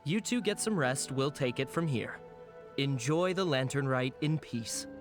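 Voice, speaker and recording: A man voicing a dutiful city guard in a video game, speaking in a measured, formal, reassuring way. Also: mid-range voice, animated, close to an everyday voice. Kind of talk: English video game voice acting. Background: game music.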